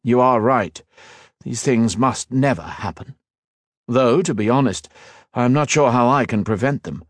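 A man's voice: medium pitch, no echo, clean studio sound, voice pushed up, lightly acted. A man voicing Liniel, a formal and thoughtful male elf noble in a fantasy audiobook. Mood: earnest. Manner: formal, measured.

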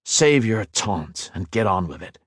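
A man's voice: sits low, big pitch swings, unhurried, slightly rough, no room sound, studio quality, full character voice. A man voicing an angry, defiant young male hero who speaks in curt, tense bursts.

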